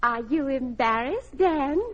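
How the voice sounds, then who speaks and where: A woman's voice: sits high, animated, takes it slowly, a bit bright, full character voice. An actress playing a flustered middle-aged mother, stammering and fluttery, in a radio comedy. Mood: fluttery, bashful. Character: flustered, nervous middle-aged mother awaiting her fiancé. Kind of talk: 1940s-50s radio comedy acting. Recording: dull old radio recording, studio audience, hiss.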